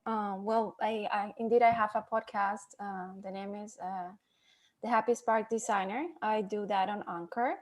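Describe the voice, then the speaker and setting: A woman from Peru, a podcast host chatting as a guest, hesitant with many pauses and laughs. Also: mid-range voice, steady tone. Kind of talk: casual interview chat.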